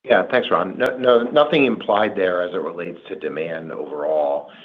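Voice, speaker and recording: A man speaking as a company executive, answering analysts on an earnings call with measured, hesitant corporate phrasing on conference audio. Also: deep voice. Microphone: conference call line. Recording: dull conference audio, faint noise.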